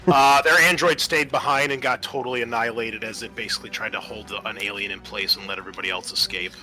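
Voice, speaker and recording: A man's voice: mid-range voice, fairly even intonation, fast talker, pushed and bright, low-quality recording. A man speaking casually as game master and host, narrating a tabletop game with informal, hesitant phrasing. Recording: faint music under the voice.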